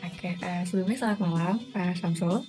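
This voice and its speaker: A woman's voice: fairly low pitch, fairly even intonation, brisk, dark tone, faint music behind. A young woman law student asking interview questions, hesitant with fillers. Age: young adult.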